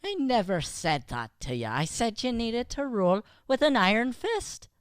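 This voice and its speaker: Woman playing a clear-voiced, stern Irish principal. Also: a little high, very animated, normal speed, dry recording, clean studio sound. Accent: Irish, put on. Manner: firm, reproachful. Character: stern, indignant female school principal. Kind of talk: improvised radio-play comedy.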